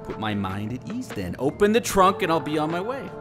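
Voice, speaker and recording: A man's voice: on the high side, wildly swooping pitch, a little fast. An actor playing a smug agent tailing his target, falsely friendly and taunting, in a radio drama. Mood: taunting menace. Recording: music under the dialogue.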